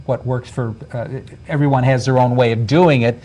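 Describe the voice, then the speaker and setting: A man's voice: mid-range voice, steady tone, normal speed, mellow. Hesitant stammering man. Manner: hesitant, many stammers and restarts. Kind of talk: casual talk show conversation.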